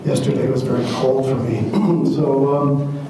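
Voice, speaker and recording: A man introducing himself as a doctoral student, talking haltingly with many fillers. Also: mid-range voice, fairly even intonation, background noise. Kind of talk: self-introduction at a meeting. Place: meeting room. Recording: echoey room, distant mic.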